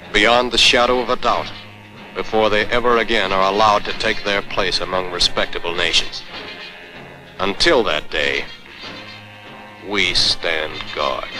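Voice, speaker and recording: A man's voice: fairly low pitch, poor audio. An American man narrating a 1940s military training film in a stern, emphatic, commanding manner. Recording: vintage 1940s film soundtrack. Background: orchestral music.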